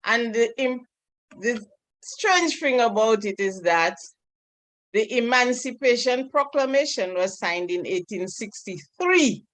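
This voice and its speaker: A woman with a Dominican accent opens an online club meeting, earnest and measured. She speaks slowly, and her voice sounds dry and close. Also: on the high side, expressive, fairly bright tone, no echo. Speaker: meeting's toastmaster of the day. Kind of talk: club meeting presentation over a call. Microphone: video call.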